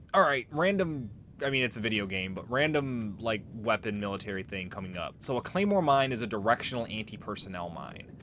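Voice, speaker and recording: Low-voiced man doing casual game commentary. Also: fairly bright tone, a little hiss or hum. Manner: rambling, explanatory, casually profane. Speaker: let's play host.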